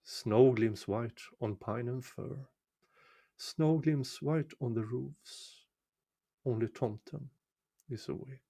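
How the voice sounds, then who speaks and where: A man reading a folk poem aloud as a storyteller, measured and deliberate, pausing between short phrases. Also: medium pitch, gravelly, mellow. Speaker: amateur reader of a poem. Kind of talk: slow, dramatic storytelling recitation of a winter folk poem.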